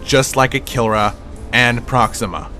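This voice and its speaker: A man playing a level-headed military pilot in a sci-fi audio drama, measured and pragmatic. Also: mid-range voice, bright, forceful tone, faint music behind. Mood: firm.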